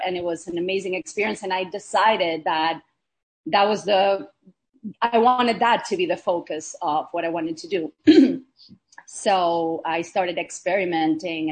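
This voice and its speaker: A woman from Argentina, a chef and guest, talking in a relaxed interview with many hesitations and fillers. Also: on the low side, husky, rough recording. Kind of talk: casual interview.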